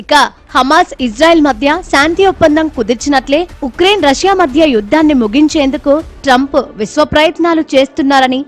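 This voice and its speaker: A woman's voice: high-pitched, quick pace. A woman narrates a scripted news report as a voice-over reporter. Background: background music bed.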